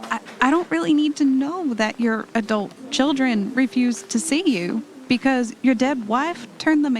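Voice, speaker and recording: A woman playing a fond, careful wife on vacation in an audio drama, chatty and gently chiding. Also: sits high, rough recording. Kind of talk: audio drama acting, conversational. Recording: faint background noise.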